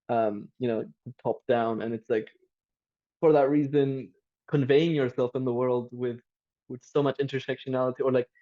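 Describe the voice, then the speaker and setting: Young man, native Turkish speaker, panel moderator, earnest and searching, middle pitch, slightly muffled lo-fi audio. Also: warm tone. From Cyprus. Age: young adult. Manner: earnest, searching, many fillers and restarts. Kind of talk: casual panel discussion.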